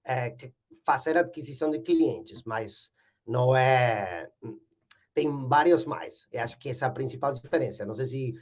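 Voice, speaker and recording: A man from Argentina with an Argentine Spanish accent, a native Spanish speaker, talking as a podcast guest in careful Portuguese and often pausing on "eh". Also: mid-range voice. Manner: hesitant, fills pauses with "eh". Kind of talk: casual interview talk.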